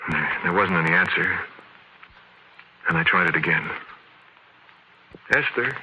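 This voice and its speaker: An actor playing a shaken, haunted man who confides a ghostly encounter in halting, emphatic phrases, in the style of old-time radio horror. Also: low voice, lively intonation, sharp tone, a little hiss or hum, heavily acted, rough recording. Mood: uneasy, awed. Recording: vintage radio recording.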